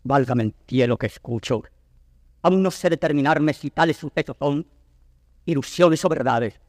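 Older nobleman played by a male actor, grave and tormented, classical verse declamation, slightly high voice in long reverb. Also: normal speed, darkish tone, studio quality, lightly acted. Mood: anguished astonishment. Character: anguished old nobleman torn between honour and fatherly love.

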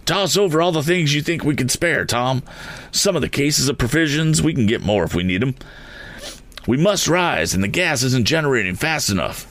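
A man's voice: mid-range voice, expressive, moderate pace, a bit bright, voice pushed up, natural voice. A man voicing a seasoned balloonist who barks urgent orders during an emergency.

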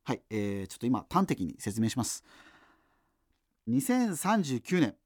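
An actor playing a wry, self-deprecating radio host in a doomed future, reminiscing in a digressive, hesitant conversational monologue. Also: male voice, mid-range voice, wildly swooping pitch, a little slow, a little mellow, dry recording, studio quality, exaggerated voice. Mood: reflective, wry.

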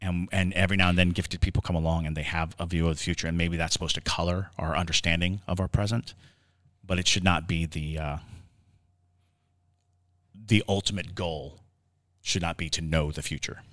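Man, deep voice, podcast host, conversational, reflective, halting explanatory delivery. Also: fairly even intonation, a bit bright. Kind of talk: conversational podcast discussion of the paranormal.

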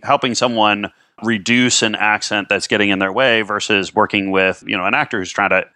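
A man from Minnesota hosts an interview, his bright, forceful voice rushing through curious questions. He rambles and leans on fillers as he talks. The sound is dry and close. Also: on the low side, fairly even intonation, dry recording. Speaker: podcast host.

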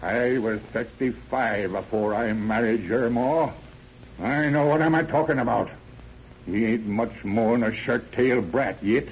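Through a tinny, noisy old radio recording comes an actor as a stern old countryman with a gravelly voice. He scolds gruffly in a folksy rural American drawl, like a cantankerous father in a vintage radio play. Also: medium pitch, a little slow, natural voice. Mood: scornful, stern. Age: older. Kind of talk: old-time radio drama acting.

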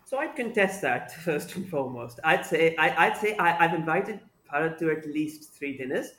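A man's voice: a little high, expressive, normal speed, crowd noise. A man on a podcast as a guest sports writer, speaking articulately with some restarts and self-deprecating humor. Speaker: cricket sub-editor guest. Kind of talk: casual podcast chat.

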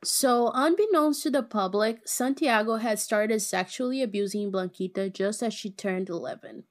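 A woman's voice: mid-range voice, moderate pace. A young woman co-hosting a true-crime podcast, recounting case details in a laid-back way with lots of fillers. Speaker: podcast co-host. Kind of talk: casual true-crime narration.

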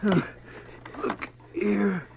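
Old prospector at death's door, high-pitched, dragging and feeble, dull radio western recording. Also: male voice, drawn-out words, creaky, background noise, heavily acted. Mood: dying, urgent. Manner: broken, clipped phrases. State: dying, weak, halting. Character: dying old frontier prospector, weak and gasping.

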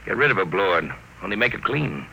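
A man's voice: deep voice, very animated, a little fast, creaky, full character voice. An actor playing a cold, ruthless male villain with a menacing, contemptuous manner in an old-time radio drama. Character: ruthless, cold male gang boss and schemer. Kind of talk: 1950s radio drama acting. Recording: old radio recording with faint noise.

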